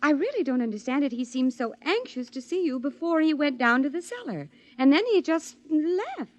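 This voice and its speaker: An actress playing a doting, trusting, slightly nervous wife, sweet and affectionate, in 1950s radio drama style. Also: female voice, high-pitched, sing-song, normal speed. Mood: puzzled.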